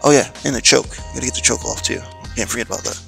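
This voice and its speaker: An American man in his thirties vlogging casually as he works, loose and halting, thinking aloud into a close handheld camera. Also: mid-range voice, vocal fry, bright, forceful tone, soft background music. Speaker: motorcycle vlogger. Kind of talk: casual vlog narration while working.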